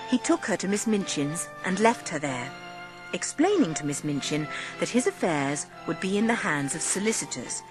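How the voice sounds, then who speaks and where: A woman's voice: lowish voice, poor audio. A woman narrating a scripted storybook for a dramatised audiobook. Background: music.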